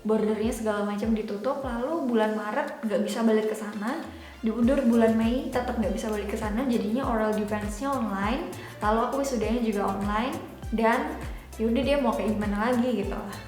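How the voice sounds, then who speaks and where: High-voiced young woman vlogger. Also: a little fast, a little mellow. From Java, Indonesia. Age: 20s. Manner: informal, chatty, explanatory. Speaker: YouTube vlogger. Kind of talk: casual chatty vlog talk. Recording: echoey room with background music. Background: music.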